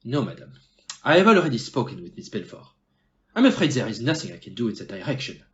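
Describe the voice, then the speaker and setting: A man performs a shrewd, courteous detective in an audio drama. His speech is formal and pointed, with a lively, swinging melody. The recording is a little dull and muffled. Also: medium pitch, close to an everyday voice. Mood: firm, grave. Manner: formal, measured, pointed phrasing. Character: polite, shrewd, blunt male Belgian detective. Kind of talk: amateur audio play acting.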